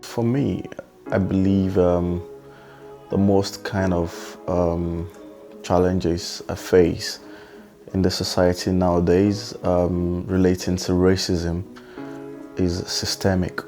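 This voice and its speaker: Male entrepreneur, low mellow voice, hesitant answers, faint guitar behind. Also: flat delivery, takes it slowly. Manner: hesitant, lots of um. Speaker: entrepreneur interviewee. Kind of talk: reflective interview answer.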